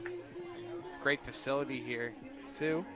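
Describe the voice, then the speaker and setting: A man doing live radio play-by-play of a softball game as the announcer. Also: a little high, wildly swooping pitch, slow pace, over music, a crowd in the background. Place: outdoors at a ballfield, on a platform by tables. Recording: outdoor ballfield noise behind the voice. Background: loud outdoor ambience.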